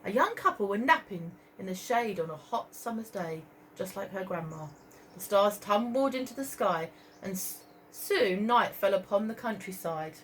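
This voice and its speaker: A woman reading a story aloud to young children as a teacher, expressively, pausing to point out pictures and ask questions. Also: mid-range voice, lively intonation, slight background noise, low-quality recording. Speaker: primary school teacher.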